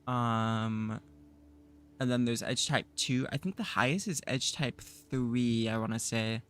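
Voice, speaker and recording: A man with a raspy voice, groggy from just waking up, casually chatting through a live gameplay stream and rambling with self-deprecating humor. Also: medium pitch, takes it slowly, a bit bright. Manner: rambling, self-deprecating, with frequent fillers and laughs. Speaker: game streamer.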